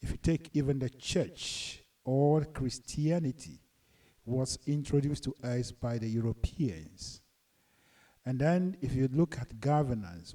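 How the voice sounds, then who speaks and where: A man's voice: mid-range voice, warm tone, distant mic. A middle-aged man from Ghana with a Ghanaian accent, giving an earnest, emphatic lecture to his channel's viewers. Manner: earnest, deliberate, emphatic, rising on key points. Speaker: channel host and commentator. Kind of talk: lecturing monologue.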